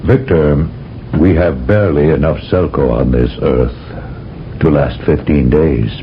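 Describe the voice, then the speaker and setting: A grave, commanding general. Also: male voice, extremely low pitch. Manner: measured, solemn, lecturing. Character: grave older male general, commanding, briefing an agent. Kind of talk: 1970s radio drama acting. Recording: dull lo-fi old radio broadcast with faint hiss.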